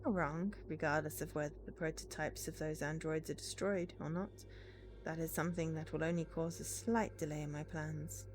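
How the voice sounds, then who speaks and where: Woman reading as cold, imperious villain, fairly low. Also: steady tone, moderate pace, a little hiss or hum, close to an everyday voice. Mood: condescending. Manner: formal, condescending. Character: cold, imperious male villain in a cyberpunk game.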